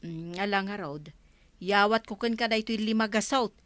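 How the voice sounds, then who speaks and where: A woman's voice: mid-range voice, normal speed, fairly bright tone, no echo, close to an everyday voice. Kindly Ilocano village councilwoman, acted by a woman. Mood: caring. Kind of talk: comedy drama acting.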